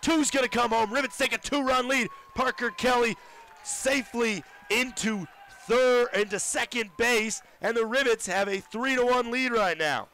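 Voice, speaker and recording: Bright-voiced young play-by-play man. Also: extremely high pitch, normal speed. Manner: excitable, shouts big plays. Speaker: play-by-play announcer. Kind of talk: radio baseball play-by-play. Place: ballpark broadcast booth.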